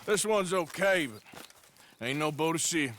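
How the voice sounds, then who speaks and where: A man's voice: fairly high pitch, animated, slow, slight background noise. A man playing a weary, laconic Old West outlaw in a video game, terse and understated, with an American accent. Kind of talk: naturalistic video game cutscene acting. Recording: loud horse and outdoor game effects.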